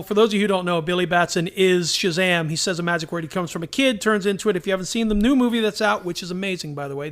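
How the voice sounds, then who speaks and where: Guy with a pretty high voice chatting on a fan podcast, does little bits of dialogue as he goes. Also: a bit bright. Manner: acts out bits of dialogue while explaining. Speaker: podcast co-host. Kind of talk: casual fan discussion.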